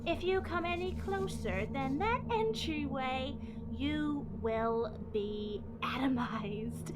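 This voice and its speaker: High-voiced, slow, gloating villainess. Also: female voice, very noisy. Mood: gloating menace. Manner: mocking, melodramatic, drawn-out taunting delivery. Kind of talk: sci-fi fan audio drama acting.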